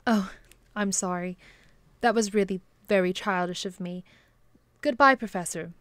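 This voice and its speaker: An actress playing a kindly, long-suffering bishop's wife in earnest, gentle period drama style. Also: female voice, medium pitch, normal speed, no echo, close to an everyday voice. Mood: wistful, upset. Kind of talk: period radio-drama acting.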